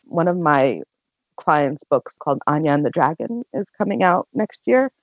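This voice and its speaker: A woman's voice: low voice, big pitch swings, normal speed, dry recording. A woman with an American accent, a literary agent, speaking earnestly and explaining on a podcast panel. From United States. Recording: dry, phone-like line.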